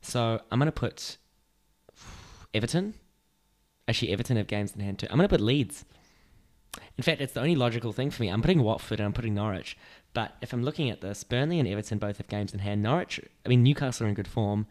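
A man's voice: fairly low pitch, expressive, fast talker, darkish tone. A young man co-hosting a casual sports podcast, joking and laughing often as he chats. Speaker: podcast co-host.

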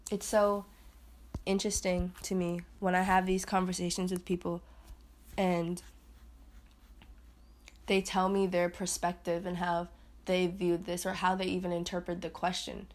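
A young woman hosting a casual solo podcast, chatty and rambling, laughing at herself and swinging into higher playful voices. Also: little pitch movement, a little slow, long pauses. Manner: swings into high put-on voices. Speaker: podcast host.

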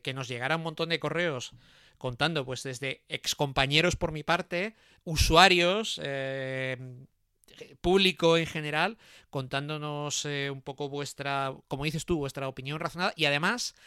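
A man hosting a podcast, talking in a loose, halting way with plenty of fillers. His voice sits a little high and sounds bright and crisp. The recording is close and dry. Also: no echo. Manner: hesitant, many fillers and restarts. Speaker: podcast host. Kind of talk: casual chat.

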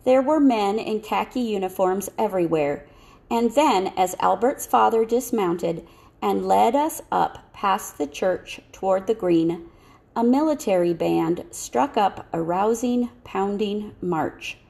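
A woman reading a children's novel aloud as scripted narration, in a measured storytelling manner. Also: medium pitch, lively intonation, gravelly, a little mellow. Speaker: audiobook reader.

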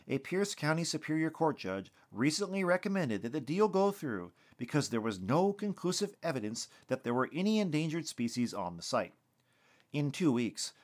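A man's voice: a little high, pitched above the performer's own voice. A man voicing a female TV field reporter who reports in a serious, concerned and emphatic way. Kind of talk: TV news report.

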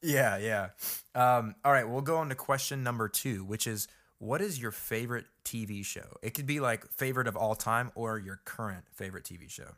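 A man hosting an interview, asking quick icebreaker questions in a chatty, upbeat way. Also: medium pitch, fairly bright tone. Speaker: podcast host. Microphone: video call.

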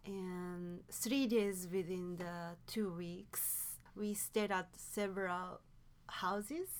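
Soft-spoken woman with a Japanese accent. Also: lowish voice, steady tone, extremely slow. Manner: hesitant, self-correcting, soft asides. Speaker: podcast guest. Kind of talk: casual conversational storytelling.

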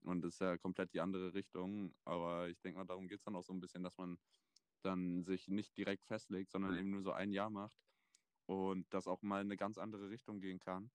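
A man of twenty hosting a casual chat, relaxed and thoughtful with plenty of fillers. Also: low voice, brisk, smooth, dry recording. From Germany. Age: 20s. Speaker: podcast host.

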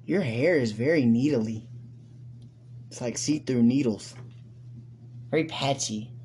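A man co-hosting a casual podcast, joking, laughing and swearing a lot while high. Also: measured pace, warm tone, slight background noise. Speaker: podcast co-host. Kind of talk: casual crude chat.

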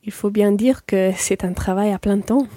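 A woman's voice: medium pitch, moderate pace, mellow, no echo, clean studio sound, close to an everyday voice. A woman playing a friendly working mother chatting over dinner in a scripted language-course radio play. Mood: conversational.

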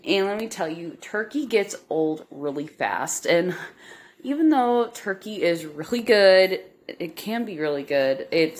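Hoarse, congested woman rambling casually. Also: low-pitched. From Nebraska, US Midwest. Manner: rambling with many ums. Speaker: podcast host. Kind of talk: casual update monologue.

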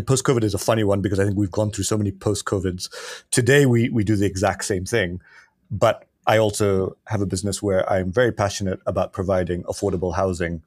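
A man's voice: deep voice, darkish tone, husky, no room sound, studio quality. A middle-aged man from Kenya, a podcast guest telling his story in a rambling, casual way with many restarts. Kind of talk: casual interview talk.

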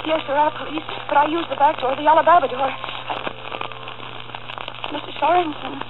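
A woman's voice: sits high, fairly even intonation, moderate pace, natural voice. An actress playing a frantic, frightened woman in an old radio drama, breathless and overwrought, repeating short phrases. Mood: frightened pleading. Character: terrified, frantic woman on the run from police. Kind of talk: 1940s radio drama acting. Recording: scratchy, crackling, tinny old radio recording.

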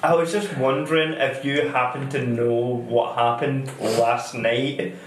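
A man's voice: medium pitch, fairly even intonation, unhurried. A man playing a tabletop game, speaking in character in a dry, drawling way. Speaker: player. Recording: echoey room, faint noise.

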